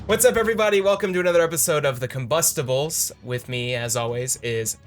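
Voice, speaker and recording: A man's voice: fairly high pitch, fast, faint music behind. A man hosting a casual, joking movie discussion with friends, swearing freely. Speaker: show host.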